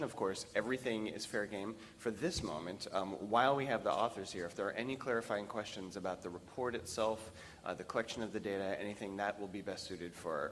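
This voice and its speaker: A man moderating a panel, pausing often with fillers as he talks. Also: on the low side, fairly bright tone, a little hiss or hum. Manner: halting, many fillers. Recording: long hall reverb.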